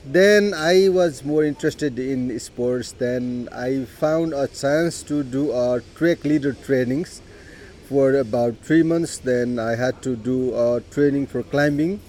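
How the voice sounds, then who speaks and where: A middle-aged man with a Nepali accent, telling his life story in an unhurried way, with frequent "you know" and throat clearing. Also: mid-range voice, a little mellow, slight background noise. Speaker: interviewee. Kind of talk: personal life story told in conversation.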